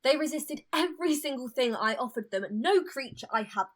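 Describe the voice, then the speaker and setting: Radio play role for an actress: petulant, haughty horsewoman of famine, high voice, hugely animated, theatrical delivery. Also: brisk. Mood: haughty, sulky. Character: Famine, vain, petulant temptress horsewoman of the apocalypse.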